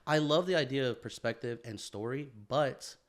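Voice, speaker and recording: A man's voice: medium pitch, moderate pace. A man co-hosting a casual podcast chat, rambling with lots of fillers and restarts, speeding up when he gets going. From San Francisco Bay Area. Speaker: podcast co-host, photographer.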